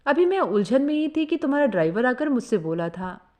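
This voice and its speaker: Expressive higher-voiced woman narrating a story. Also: brisk. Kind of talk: scripted first-person story narration.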